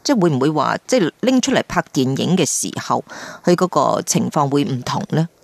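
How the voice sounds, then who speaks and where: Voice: medium pitch, big pitch swings, a little fast, creaky, no room sound. An interviewer hosting a casual, conversational radio chat and putting questions to a guest.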